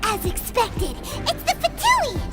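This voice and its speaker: A woman voicing a tiny, chatty, naive fairy companion in a video game, with a squeaky childlike voice. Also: wildly swooping pitch, takes it slowly, heavily acted. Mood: nervous, flattering. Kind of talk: video game character voice acting. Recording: loud game music behind.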